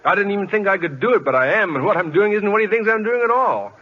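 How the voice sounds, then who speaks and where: An actor playing an earnest, evasive professor posing as a ballplayer, speaking precisely but flustered, in 1940s radio comedy style. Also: male voice, sits high, lively intonation, fast, slight background noise. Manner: precise, flustered, hesitant explanations. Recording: dull old radio recording.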